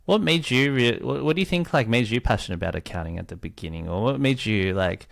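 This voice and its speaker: A man's voice: medium pitch, animated, fast, vocal fry. A man hosting a podcast, reading the guest's introduction and then chatting upbeat with him. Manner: upbeat, warm praise of guest. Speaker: podcast host. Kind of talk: scripted intro, then casual interview.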